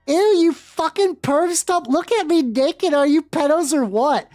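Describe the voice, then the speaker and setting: A woman's voice: sits high, unhurried, warm tone, pitched above the performer's own voice. A woman voicing a melodramatic, angsty goth teenage girl from a fan fiction story. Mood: outraged. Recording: dry close sound with music behind.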